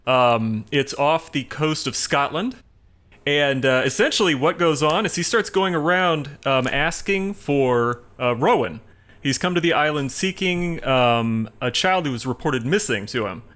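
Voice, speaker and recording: A man co-hosting a casual film discussion, explaining things in a halting, rambling way. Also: mid-range voice, fairly bright tone. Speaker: podcast co-host giving a film rundown.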